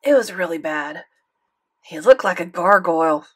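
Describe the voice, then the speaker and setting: A woman's voice: fairly low pitch, lively intonation, moderate pace, a bit bright, breathy edge. A woman doing a sulky preteen boy. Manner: sulky, evasive. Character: defensive preteen boy, sulky and self-pitying.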